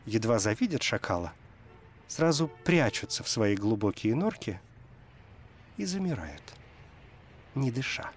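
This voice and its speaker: A male radio presenter with a medium-pitched voice. He speaks about books and tells tales expressively, as a storyteller would. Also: very animated, a little slow, long pauses, slight background noise. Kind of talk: expressive storytelling and literary talk.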